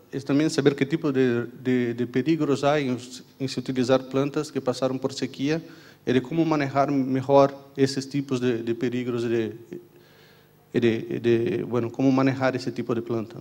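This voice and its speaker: A young man with a Brazilian Portuguese accent lectures from a conference stage into a handheld mic. His voice is dark and mellow, and he pauses and restarts often, using many fillers and checking in with his listeners. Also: mid-range voice. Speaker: university researcher giving a conference talk. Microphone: handheld microphone.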